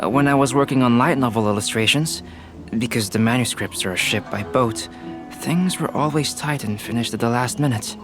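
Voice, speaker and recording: A man voicing a calm, polite, scholarly young artist in a video game, measured, composed and precise. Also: mid-range voice, close to an everyday voice. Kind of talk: video game character acting. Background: background music.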